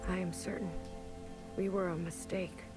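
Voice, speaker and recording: A woman's voice: on the low side, flat delivery, normal speed, close to an everyday voice. A woman playing a resolute, embittered young sister in a science-fiction video game, firm and intense. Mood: resolved, bitter. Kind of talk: dramatic video game acting. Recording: loud game music over the voice.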